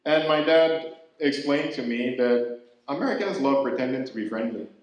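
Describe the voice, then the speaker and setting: A young man from Ghana, doing a stand-up set with a dry, halting delivery full of fillers and restarts, laughter behind him. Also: medium pitch. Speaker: stand-up comedian. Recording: very echoey room, faint noise. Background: audience laughter.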